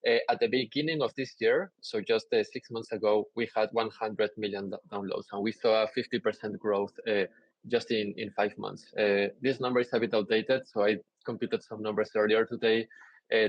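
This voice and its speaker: A young man from Peru giving a conference talk over slides on a video call, relaxed and explanatory with many "uh" fillers. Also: on the low side, dry recording, lo-fi sound. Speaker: conference speaker. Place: indoor room, on a video call.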